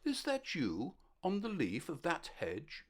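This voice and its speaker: A woman voicing a kind, calm male ant doctor in a storybook, gentle and reassuring. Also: low voice, slow pace, husky, exaggerated voice. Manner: gentle, reassuring bedside manner. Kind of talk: storybook character voice.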